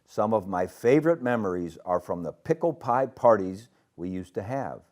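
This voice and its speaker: A kindly storybook grandmother, as a male reader plays her, looks back fondly on old times. The voice sits fairly low. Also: animated, moderate pace, a little mellow, lightly acted. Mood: fond, nostalgic. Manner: fond, reminiscing.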